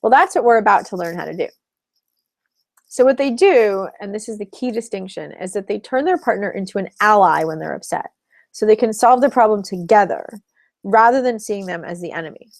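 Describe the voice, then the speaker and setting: Woman coach with a gravelly edge. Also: mid-range voice, lively intonation, fairly quick, no room sound. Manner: chatty, explanatory, laughs between points. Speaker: relationship coach. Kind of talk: live webinar teaching.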